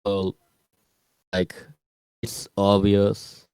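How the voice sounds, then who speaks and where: A young man from Mexico with a Mexican Spanish accent, chatting casually and pausing to search for words. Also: lowish voice, completely flat delivery, warm tone, clear, resonant tone, dry recording, rough recording. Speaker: podcast co-host. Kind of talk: casual chat.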